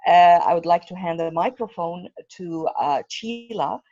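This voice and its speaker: A woman's voice: lowish voice, a little slow, dark tone, no echo. A woman, hosting and moderating a webinar in a formal manner. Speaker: webinar host and interviewer. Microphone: video call. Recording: dull, dry, lo-fi video-call audio.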